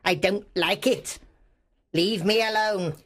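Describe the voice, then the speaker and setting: Guy doing a grumpy bitter old miser from a storybook, hard snappy voice pushed up high, curt and dismissive. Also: slow, a bit bright, full character voice. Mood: scornful, irritated. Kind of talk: storybook audiobook character voice.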